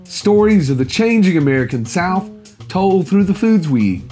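A man's voice: high-pitched, big pitch swings, normal speed, mellow, soft background music. A man, reading scripted narration as a podcast host.

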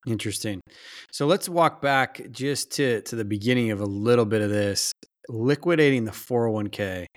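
A man's voice: medium pitch, normal speed, dry recording, clean studio sound. A man hosting a conversational interview, putting questions to a guest. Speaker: podcast host, interviewer.

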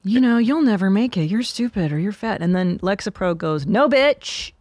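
A woman's voice: medium pitch, expressive, moderate pace, darkish tone, dry recording, clean studio sound. A woman in her forties from Canada hosting a podcast, chatting casually in a blunt, profane, self-mocking way. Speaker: podcast host. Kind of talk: casual comedic chat.

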